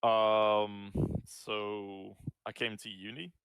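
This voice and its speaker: A man's voice: fairly low pitch, flat delivery, drawn-out words, fairly bright tone, smooth, dry recording. A Scottish man in his twenties, answering interview questions in a laid-back, hesitant way. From Scotland. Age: 20s. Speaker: guest, esports player. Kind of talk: casual interview.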